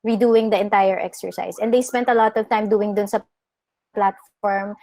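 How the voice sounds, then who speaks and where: A woman's voice: mid-range voice, steady tone, normal speed, creaky, dark tone, no room sound. A woman with a Filipino accent giving casual, chatty remarks in a team meeting, switching between Tagalog and English. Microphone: video call.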